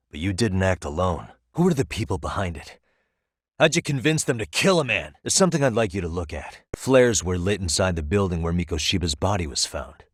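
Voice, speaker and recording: A male actor voicing a composed, determined private detective in a video game, with a measured, probing delivery. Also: low voice, no room sound, clean studio sound. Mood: pressing, accusing. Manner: measured, probing, matter-of-fact. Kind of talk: English video game dialogue dub. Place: dry recording studio.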